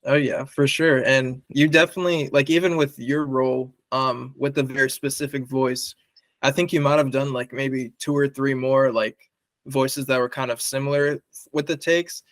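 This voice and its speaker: A man's voice: mid-range voice, level tone, normal speed, fairly bright tone, no room sound. Casual young man, very dry sound. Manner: informal, loosely phrased, frequent "like". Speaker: interviewer and comic writer. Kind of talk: casual conversational interviewing.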